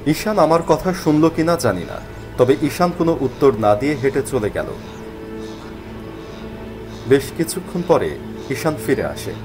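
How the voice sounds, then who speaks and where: A man's voice: fairly high pitch, very animated, normal speed, long pauses, music in the background. A man narrating a scripted horror story in a dramatic first-person storytelling style.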